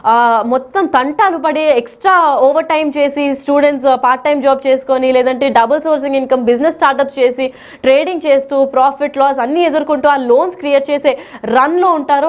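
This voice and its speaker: A woman explaining the news as a presenter, in a wordy, partly unscripted way with English terms mixed in. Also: high voice.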